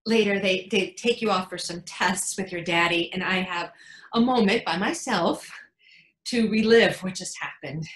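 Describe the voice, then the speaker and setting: A woman acting a tender, excitable new mother in her thirties, telling her baby a story in an intimate, halting theatrical monologue. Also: mid-range voice, reverberant, close to an everyday voice. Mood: awed, tender. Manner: emotive, halting, intimate storytelling with dramatic swells.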